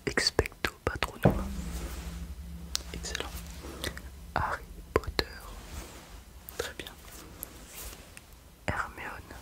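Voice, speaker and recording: An ASMR creator whispering softly and without voice while running a roleplay exam, giving gentle praise after every answer. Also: rough recording. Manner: soft, unvoiced whispering throughout. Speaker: ASMR creator playing an examiner. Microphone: close mic with left and right ear whispering.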